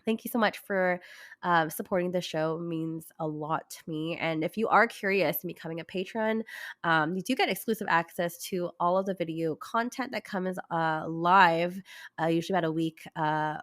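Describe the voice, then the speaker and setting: Woman podcast host, raspy and hoarse with a cold, bubbly chatter, dry close mic. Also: fairly low pitch, fairly bright tone, no room sound. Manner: bubbly and chatty, many fillers. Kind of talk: casual podcast chat.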